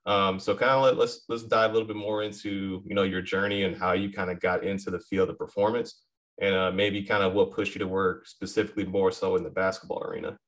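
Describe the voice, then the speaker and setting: A man's voice: low-pitched, little pitch movement, quick pace, fairly bright tone. A man hosting a podcast interview, asking loose questions with lots of fillers. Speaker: podcast host.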